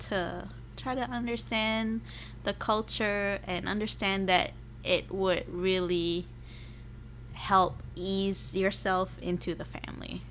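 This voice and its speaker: A woman who grew up in Singapore talks in a casual, conversational way. Her voice sits at a middling pitch, and there is some noise in the recording. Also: a bit bright. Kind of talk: casual relationship chat.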